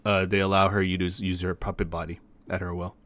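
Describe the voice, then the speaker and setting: A man's voice: deep voice, little pitch movement, quick pace, a bit bright. A man giving casual, informal explanations in conversation as a host. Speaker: host explaining game characters. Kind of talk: casual chat commentary.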